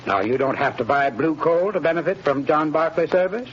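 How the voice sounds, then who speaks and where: A man's voice: on the high side, brisk, slightly rough. Man reading an old radio ad, earnest salesy tone, muffled old broadcast. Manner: earnest, friendly salesman's delivery. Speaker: sponsor's spokesman. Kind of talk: scripted radio advertisement.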